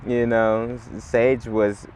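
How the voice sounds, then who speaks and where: A man, chatting in a relaxed, rambling way as an interview guest, full of "like" and "you know". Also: medium pitch, slow pace, noisy background. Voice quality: raspy. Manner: laid-back, rambling, heavy on "like" and "you know". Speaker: musician guest. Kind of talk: casual interview chat.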